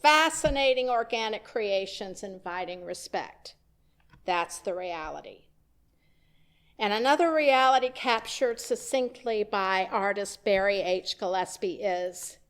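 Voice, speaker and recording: Slow, reflective woman preaching. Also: mid-range voice, creaky. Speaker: minister. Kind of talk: sermon. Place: church sanctuary.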